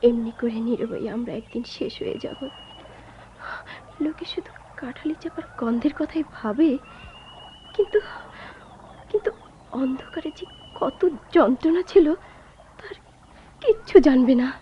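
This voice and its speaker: A woman's voice: high-pitched, lively intonation, measured pace, pauses often. An actress playing a grieving young woman who waits for her lost lover, with poetic, theatrical delivery in a radio drama. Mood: sorrowful, searching. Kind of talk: Bengali radio drama acting. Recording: dull, lo-fi, noisy old radio recording.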